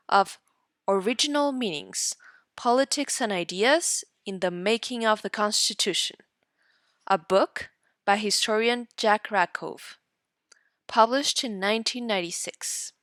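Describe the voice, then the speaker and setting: A woman teacher reading aloud for students in an instructional way, deliberately and in short guiding phrases. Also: medium pitch, a bit bright, no echo, studio quality. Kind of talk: instructional read-aloud lesson narration.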